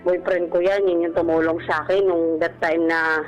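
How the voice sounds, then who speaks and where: Casting: woman, 30s, low voice, polite radio caller telling her own story over background music. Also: little pitch movement, moderate pace, lo-fi sound. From Philippines. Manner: respectful, frequent polite particles. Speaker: caller on a radio advice show. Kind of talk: personal storytelling, conversational. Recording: radio broadcast with music bed.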